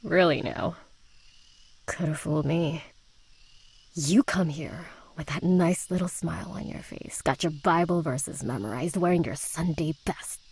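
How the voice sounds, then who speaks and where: A woman's voice: low voice, measured pace, a little mellow, husky, full character voice. A woman voicing a rebellious, sardonic teenage schoolgirl in a visual novel, with a dry, taunting, laid-back manner. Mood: challenging, mocking.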